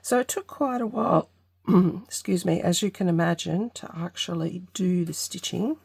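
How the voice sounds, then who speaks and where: A woman's voice: lowish voice, gravelly, a little mellow, breathy edge, dry recording. Congested woman thinking aloud over crafts. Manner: unhurried, tentative, thinking aloud. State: congested from allergy. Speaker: craft channel host. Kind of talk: casual craft tutorial vlog.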